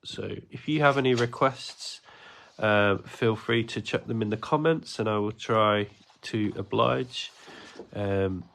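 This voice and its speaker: A man teaches drawing on a casual livestream. His voice sounds gravelly and stuffed up from a cold, and he rambles along with lots of ums and uhs. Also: on the low side, slow, fairly bright tone, a little hiss or hum. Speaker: art teacher running a livestream class.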